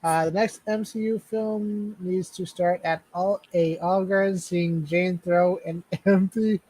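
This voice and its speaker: Male streamer host, high voice, dry close sound, jokey and quick to laugh. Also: steady tone, a little mellow, no echo, poor audio. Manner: joking, laughs often, stumbles and restarts. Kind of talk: casual livestream chat.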